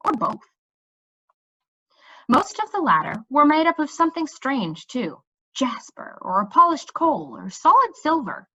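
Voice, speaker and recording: A woman reading a children's story aloud in an expressive, animated storytelling manner. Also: fairly high pitch, highs cut off. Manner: animated, pausing to check in with listeners.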